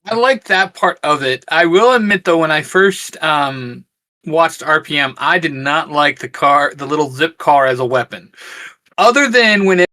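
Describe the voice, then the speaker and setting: A man co-hosting a fan discussion show, giving his opinions in relaxed, conversational geek chat. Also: fairly high pitch, moderate pace.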